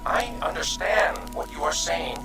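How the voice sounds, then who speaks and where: An actor playing a gentle, guilt-ridden creature from another dimension in a radio drama, speaking formally, earnestly and hesitantly. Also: male voice, on the high side, animated, a little slow, over loud music. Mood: guilty, earnest.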